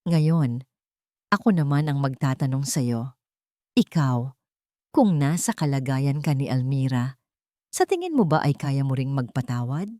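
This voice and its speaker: A woman's voice: a bass voice, animated, a little slow, long pauses, mellow, dry recording, studio quality. A woman reading a scripted dramatic first-person story as an audiobook narrator.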